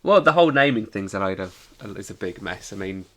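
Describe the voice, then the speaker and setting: A man's voice: deep voice, big pitch swings, brisk, fairly bright tone. A man co-hosting a podcast, chatting casually as he reviews things. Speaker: podcast co-host.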